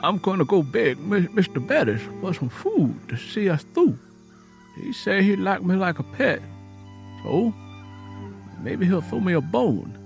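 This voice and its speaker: Guy doing a poor farm dad, proud and desperate, raised forceful voice, audiobook drama. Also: high voice, sing-song, measured pace, creaky, faint music behind, pitched above the performer's own voice, heavily acted. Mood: ashamed. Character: proud, desperate, ashamed poor sharecropper father.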